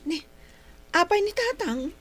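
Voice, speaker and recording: Bright, high-voiced eager daughter. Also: female voice, very animated, measured pace, heavily acted. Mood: concerned. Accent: Ilocano. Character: eager, dutiful grown daughter with children. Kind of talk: Philippine radio drama acting.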